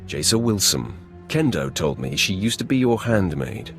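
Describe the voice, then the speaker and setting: An actor playing a cold, commanding Sith warrior in a video game, curt and imperious. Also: male voice, deep voice, big pitch swings, unhurried, soft background music, heavily acted. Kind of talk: video game character acting.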